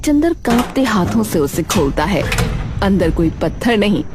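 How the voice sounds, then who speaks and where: A woman's voice: medium pitch, big pitch swings, unhurried. A woman narrating a scripted story in Hindi storytelling fashion. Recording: loud background music. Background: music.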